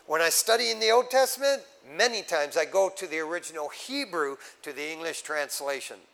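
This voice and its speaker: Animated male preacher teaching scripture. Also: a little high, brisk, creaky, low-quality recording. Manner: animated teaching with asides to the congregation. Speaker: pastor. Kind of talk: sermon.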